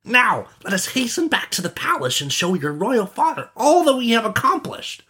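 An eager boy sidekick from a storybook, played by a man, pitched far above the narrator's natural voice. He is excitable and exclaims his lines with bright, swooping energy. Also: normal speed, breathy edge, heavily acted. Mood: delighted.

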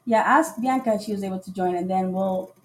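A woman's voice: mid-range voice, fairly quick. A woman from Costa Rica, a podcast guest, chatting casually on a livestream and acting out the dialogue in her stories. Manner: chatty storyteller who acts out quoted dialogue.

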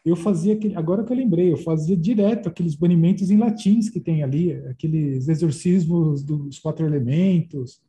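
Brazilian man, very mellow dark tone, slightly high pitch. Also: fairly quick, few pauses. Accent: Brazilian Portuguese. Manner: reminiscing, searching for words. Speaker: podcast co-host. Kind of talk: casual podcast chat.

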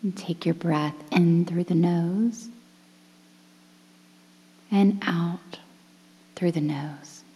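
Female meditation guide, deep and mellow, soft breathy delivery, bathed in long reverb. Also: fairly even intonation. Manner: soothing, breathy, near-whispered, measured phrases with pauses. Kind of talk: guided relaxation meditation, softly spoken. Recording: long reverberant hall-like echo.

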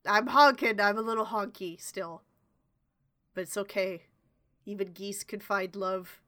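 Bright-voiced young woman with a stuffy nose. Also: medium pitch, steady tone, measured pace. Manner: chatty, swears casually, self-mocking. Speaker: podcast co-host. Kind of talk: casual podcast chat.

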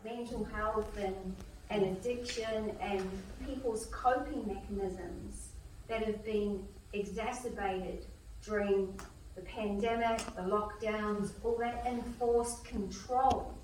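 A woman's voice: mid-range voice, steady tone, takes it slowly, a little mellow. A woman giving a public speech as a conference speaker. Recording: echoey hall from far off, faint noise.

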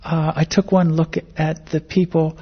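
A woman's voice: low voice, flat delivery, measured pace, slightly rough. An older American woman answering interview questions in a thoughtful, hesitant conversational way, often repeating words as the thought forms. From grew up in Indonesia and the Philippines, American-born. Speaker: interviewee, academic biologist. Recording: dull, muffled lo-fi interview recording.

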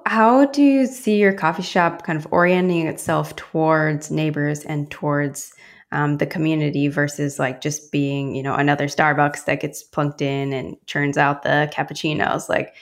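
A woman hosting a podcast, reading a measured scripted introduction and then asking thoughtful interview questions. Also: low voice, steady tone, gravelly. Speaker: podcast host.

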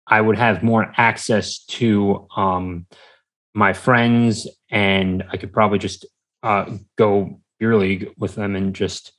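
An American man chatting casually as an interview guest, rambling haltingly and often trailing off. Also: fairly low pitch, little pitch movement, fairly bright tone, rough recording. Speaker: podcast guest. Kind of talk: casual chat.

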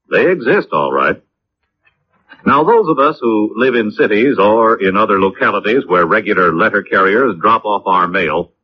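Husky, animated old-time radio announcer, male. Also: on the low side. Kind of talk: 1950s scripted radio public-service announcement. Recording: muffled old radio recording.

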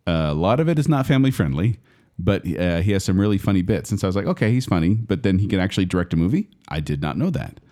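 A grown man co-hosting a casual movie review podcast, animated and quick to laugh. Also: low-pitched. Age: adult. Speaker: podcast co-host.

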